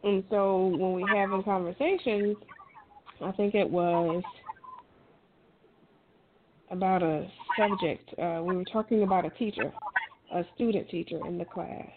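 A woman's voice: mid-range voice, fairly even intonation, measured pace, pauses often, phone-quality sound. Middle aged lady giving casual pep talk, emphatic and down to earth, sounds like a phone w/ background noise. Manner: emphatic, colloquial, encouraging. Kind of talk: casual conversational talk, advice.

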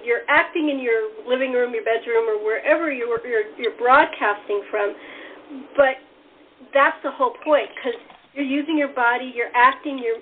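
Woman hosting a casual cast chat, higher voice, kinda muffled remote audio. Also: a little hiss or hum. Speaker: host and radio playwright. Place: at home on a remote call.

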